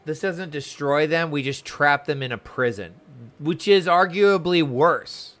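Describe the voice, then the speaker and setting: A man's voice: on the high side, unhurried, fairly bright tone. A man live-streaming a game, chatting casually and welcomingly with viewers while commenting on the story, often repeating words.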